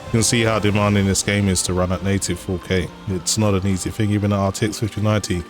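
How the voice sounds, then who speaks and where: A man's voice: low voice, fast. A man hosting a gaming channel, chatting casually about settings and performance with occasional fillers. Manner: relaxed, conversational, with fillers. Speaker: game channel host. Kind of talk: casual gameplay commentary. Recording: voice-over over game music.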